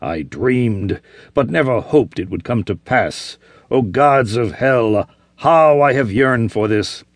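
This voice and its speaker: A man voicing a savage, vengeful barbarian warrior who talks slowly, in a deep, growling voice. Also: expressive, no room sound. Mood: raging. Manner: slow, growling, furious.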